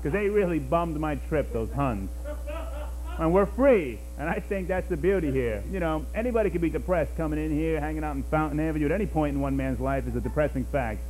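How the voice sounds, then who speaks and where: Self-mocking stand-up comic with a mellow voice. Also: male voice, on the high side, fairly quick, poor audio. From New York. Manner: rambling, self-mocking, talks to the crowd. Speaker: stand-up comedian. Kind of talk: stand-up routine. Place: small cafe stage, 1991.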